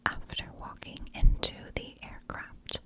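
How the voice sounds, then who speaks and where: A woman whispers a story in ASMR style, never rising into full voice. She takes it very slowly, parcelling the narration out in short, hushed phrases like a host guiding listeners through a tale. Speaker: ASMR true crime storyteller, channel host.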